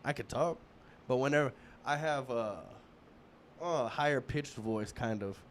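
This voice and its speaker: A man in his thirties hosts a casual interview. His voice sits at a medium pitch, and he talks in a relaxed, rambling way. Faint background hiss is audible. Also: measured pace. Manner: relaxed, rambling, many restarts and fillers. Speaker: podcast host.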